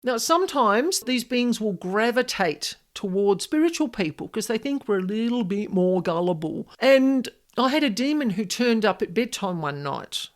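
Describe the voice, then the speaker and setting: Woman, podcast host, higher-pitched, very lively intonation, emphatic, informal solo storytelling. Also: normal speed, few pauses. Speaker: podcast host, paranormal specialist. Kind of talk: informal scripted podcast monologue, personal stories and advice.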